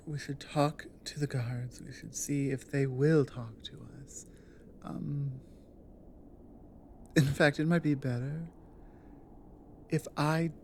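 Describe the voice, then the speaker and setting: A woman playing a big, earnest, protective orc warrior in an intimate ASMR audio drama, speaking low in a halting, thoughtful way. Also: darkish tone, slight background noise, heavily acted. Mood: conflicted, anxious. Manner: halting, deliberate, earnest delivery with pauses.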